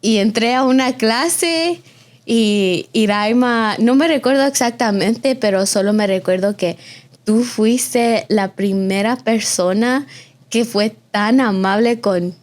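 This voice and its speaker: A woman's voice: on the high side, slow pace. A woman in her early twenties from Austin, Texas, hosting a conversational interview podcast in an effusive manner, with the odd English filler word. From Austin, Texas, of a Mexican family. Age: early 20s. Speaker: podcast host.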